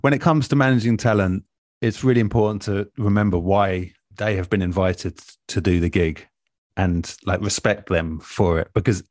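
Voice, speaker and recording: A man in a relaxed interview, explaining things in a thoughtful, measured and earnest way as an experienced producer. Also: sits low, no room sound, clean studio sound. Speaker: interview guest, audio drama producer. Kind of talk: relaxed advice-giving conversation.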